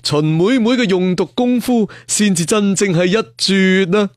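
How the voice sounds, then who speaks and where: A man's voice: high voice, unhurried, no echo, clean studio sound, voice pushed up. A man voicing a courteous, self-effacing assassin and master thief in a storybook, speaking politely and formally. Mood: modest, smiling.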